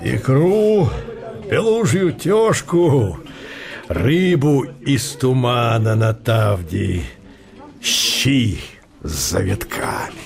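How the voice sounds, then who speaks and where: A man reading literary narration in an unhurried, characterful storytelling manner. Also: mid-range voice, vocal fry, a little mellow. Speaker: narrator of an audio drama.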